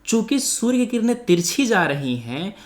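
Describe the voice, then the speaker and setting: A man's voice: sits high, very animated, moderate pace. A man teaching an exam coaching lesson, explaining didactically, mixing in English terms and often checking that learners follow. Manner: didactic, frequently checks understanding; mixes Hindi with English technical terms. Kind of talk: explanatory lecture with quiz questions.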